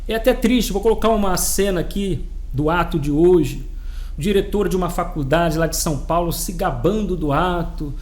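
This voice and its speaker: A man's voice: high-pitched, moderate pace, a little mellow, slight background noise. A man with a Brazilian accent giving an indignant, emphatic, repetitive opinion rant to his viewers as a political vlogger.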